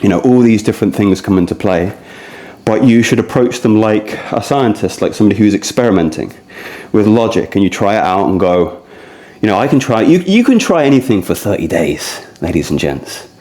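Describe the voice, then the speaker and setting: Low-voiced man in his thirties, rambling casually. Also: lively intonation, a little fast, darkish tone. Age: early 30s. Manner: discursive, conversational, addresses listeners directly. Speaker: fitness vlogger leading a core routine. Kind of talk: casual rambling talk to camera.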